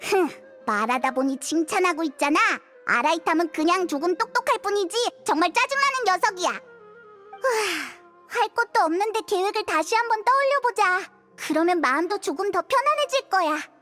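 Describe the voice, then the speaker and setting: A woman voicing a small, excitable childlike sidekick girl in a video game, chattering and full of exclamations. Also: very high voice, normal speed. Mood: grumbling, restless. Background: background music.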